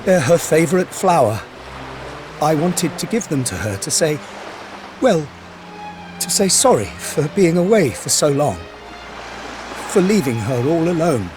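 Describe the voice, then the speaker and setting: A man voicing a glum, worried male blacksmith who speaks slowly and warily, in a video game cutscene. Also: fairly high pitch, very animated. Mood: sorrowful. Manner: glum, wary, slow storytelling. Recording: game audio with loud music behind.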